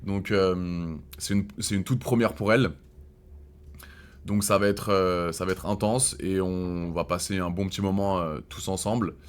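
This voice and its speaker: Deep-voiced man hosting a casual stream. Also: moderate pace. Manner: relaxed, hesitant, many fillers and restarts, crude slang. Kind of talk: casual live-stream chat.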